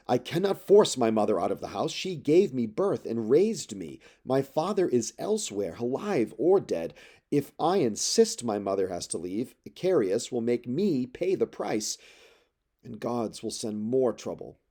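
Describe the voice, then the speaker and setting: A man's voice: medium pitch, big pitch swings, unhurried. Man acting out an indignant, defiant young prince. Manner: defiant, breathless, forceful outbursts. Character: indignant young prince defying his mother's arrogant suitors. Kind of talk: dramatic epic poetry read aloud.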